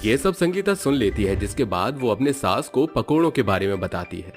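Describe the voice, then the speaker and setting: A man narrating an animated moral story in a steady, matter-of-fact way. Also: fairly low pitch, fairly quick, soft background music.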